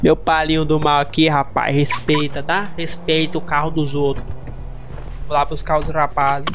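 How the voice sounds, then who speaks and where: High-pitched Brazilian man gaming. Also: little pitch movement, normal speed, background noise. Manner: slangy and playful. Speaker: gaming streamer. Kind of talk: casual role-play gameplay chat. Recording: music under the voice.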